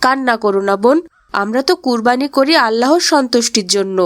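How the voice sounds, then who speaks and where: Female actor as earnest, pious cartoon boy. High-pitched voice, dry recording. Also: brisk, no room sound, close to an everyday voice. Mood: consoling. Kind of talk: cartoon voice acting.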